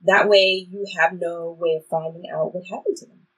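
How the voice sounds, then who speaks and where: A woman's voice: lowish voice, steady tone, moderate pace, a bit bright, breathy edge, poor audio. A woman playing a cautious, wry time-traveling guide who instructs, in a stage play reading. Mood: musing, teasing.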